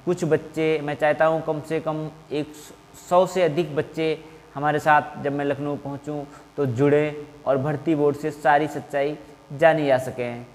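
A man, making a measured, formal appeal to camera as an exam coaching teacher. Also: a little high, flat delivery. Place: small indoor classroom in front of whiteboard. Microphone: clip-on lapel mic. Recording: reverberant, hard-walled room.

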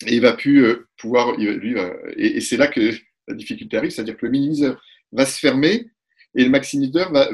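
A husky, mid-pitched man in his forties talks through his ideas on a video call from a room at home. He speaks animatedly and acts out little back-and-forth exchanges. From Italy. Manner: animated, gestures a lot, acts out quick exchanges. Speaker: couples therapist. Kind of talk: explanatory webinar talk. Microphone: webcam on a video call.

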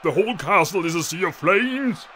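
A man playing a panicked, excitable soldier with a loud, alarmed manner in a fan-made manga drama. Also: sits high, normal speed, slight background noise.

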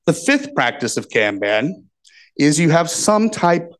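A man from the giving a conference talk, speaking plainly and reading his points out slowly on a handheld mic. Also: on the high side, sing-song. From United States. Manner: plain, measured, reads points out slowly for translation. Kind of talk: slow, deliberate conference talk. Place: conference room with projector. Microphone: handheld microphone.

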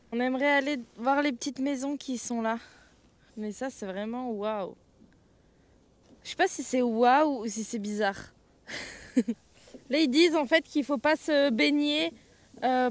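A young woman in her twenties, a skier chatting casually to an action camera, nervous and giggly. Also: on the high side. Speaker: freestyle skier vlogging. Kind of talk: casual vlog chat. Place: outdoors, walking around a city and ski venue. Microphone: action camera held at arm's length.

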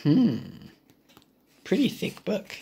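Slow, playful picture book reader. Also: mid-range voice, very animated, mellow, a little hiss or hum. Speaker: English teacher reading aloud. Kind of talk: playful picture book read-aloud with asides. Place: indoors at a wooden table.

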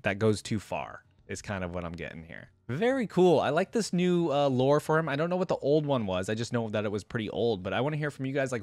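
A man's voice: medium pitch, no room sound. A young adult man hosting a reaction video, reading aloud and commenting casually in an informal, think-aloud way into a close desk microphone. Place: indoor room at a streaming setup.

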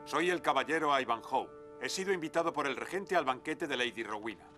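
A man's voice: mid-range voice, lively intonation, brisk, sharp tone, soft background music. A man voicing a brave, loyal young knight who speaks earnestly and firmly. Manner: earnest, firm. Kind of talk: cartoon dub acting.

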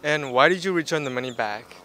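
A man's voice: a little high, animated, fairly quick, sharp tone, slight background noise. A teenage boy hosting a hidden-camera game show bit, asking casual, hesitant questions. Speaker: student game show host.

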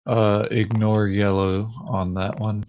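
A man's voice: on the low side, level tone, takes it slowly, clean tone. A man playing a board game, chatting casually with droll, joking asides. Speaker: tabletop game player. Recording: dull, lo-fi microphone sound.

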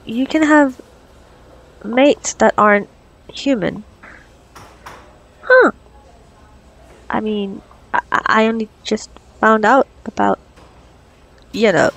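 A woman playing a flustered, curious doctor on a date in an ASMR roleplay, stammering nervously and haltingly. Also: a little high, exaggerated voice, low-quality recording. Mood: curious, awkward. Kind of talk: ASMR roleplay audio drama.

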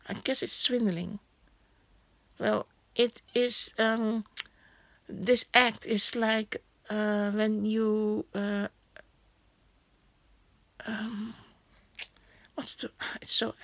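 A woman vlogging casually about a newspaper, speaking English as a Hakka and Cantonese native, often pausing to search for words. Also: mid-range voice, gravelly, dry recording. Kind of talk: casual chat. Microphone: phone camera held over a newspaper.

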